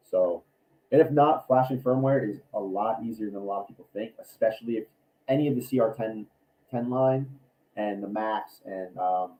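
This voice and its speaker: A man talks casually in a very dark, mellow voice, with a slight rasp from allergies. His pitch sits a little low, and his delivery rambles, full of ums and false starts as he thinks aloud. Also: low-quality recording. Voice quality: raspy. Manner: rambling, many ums and restarts. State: raspy from allergies. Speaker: cosplay maker and YouTuber. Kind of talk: casual livestream chat.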